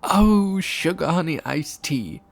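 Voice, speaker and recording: A man's voice: mid-range voice, slow pace, darkish tone, soft background music, voice pushed up. A man voicing a pompous, theatrical potato politician with a French accent in a parody cartoon story. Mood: alarmed.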